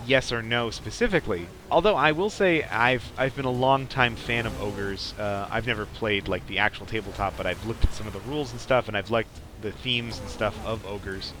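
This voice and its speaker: Young man, low pitch, rambling casual gameplay commentary, clear game noise in the background. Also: moderate pace, few pauses. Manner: rambling, peppered with "like". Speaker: podcast host playing a strategy game.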